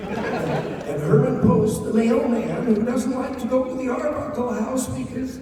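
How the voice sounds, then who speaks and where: A man's voice: very high-pitched, lively intonation, measured pace, dark tone, recorded from a distance, some chatter behind, slight background noise, pitched above the performer's own voice. A man voicing a nervous, fearful cartoon mailman, recorded in an echoey hall.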